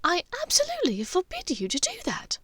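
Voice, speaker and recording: Woman voicing a refined, anxious mother, pitched high. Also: huge pitch swings, brisk, fairly bright tone, no room sound, heavily acted. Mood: pleading, worried. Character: refined, anxious mother, older aristocratic witch.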